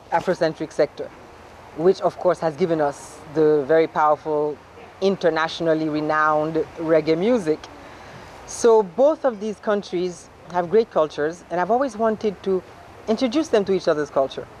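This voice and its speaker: A woman's voice: on the low side, darkish tone, a little hiss or hum. Earnest Haitian-born woman, outdoors. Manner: earnest, emphatic, slows on key words. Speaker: founder of a cultural society. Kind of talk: interview answers.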